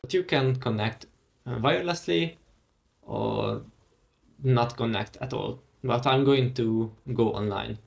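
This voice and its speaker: A young man whose first language is Hungarian talks unhurriedly through a software tour, drawn out and relaxed in his pacing. His pitch sits at an ordinary middle level, and he thinks aloud with fillers and little pauses. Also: creaky. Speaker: tech vlogger. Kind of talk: casual screen-recorded walkthrough.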